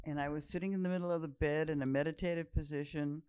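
Calm, reflective older woman in her seventies, smooth tone, dry studio sound. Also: sits low, quick pace, no echo. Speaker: guest. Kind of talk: relaxed storytelling conversation.

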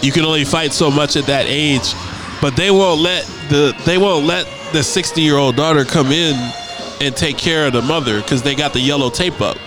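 Laid-back talk radio host, music behind. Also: male voice, on the high side, normal speed. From United States. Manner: laid-back, repeats words while thinking aloud. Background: music playing.

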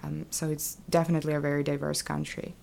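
A young woman from Kazakhstan, a Russian speaker, talking thoughtfully as a podcast guest with many pauses. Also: low voice, little pitch movement, gravelly, a little hiss or hum. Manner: soft-spoken, thoughtful, frequent um. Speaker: guest, former international student. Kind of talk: podcast interview.

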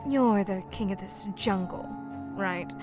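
Woman playing a cool, slow-spoken predatory mermaid. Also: medium pitch, faint music behind, close to an everyday voice. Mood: menacing. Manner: formal, measured, deadpan. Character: menacing man-eating mermaid woman, cool, aloof and predatory. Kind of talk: audio drama acting.

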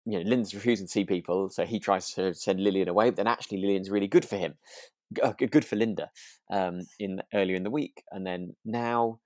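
A man's voice: low voice, quick pace, a little mellow, dry recording, clean studio sound. A man co-hosting a podcast, chatting casually in a tentative, musing and rambling way. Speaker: podcast co-host. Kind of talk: casual chat about a radio drama.

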